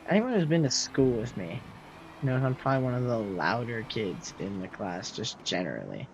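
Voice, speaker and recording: A young man in his late teens with a loud, projecting voice, rambling casually to his viewers while he games and answers their messages. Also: mid-range voice, big pitch swings, moderate pace, slight background noise. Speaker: streamer. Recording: slightly muffled, faint game music behind.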